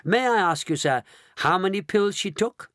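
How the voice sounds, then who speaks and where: An eccentric candy inventor from a children's storybook, acted out by a man. He is fussy and courteous, with theatrical, lively intonation. The recording is dry. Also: high-pitched, moderate pace, dry recording, voice pushed up. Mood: exasperated explaining.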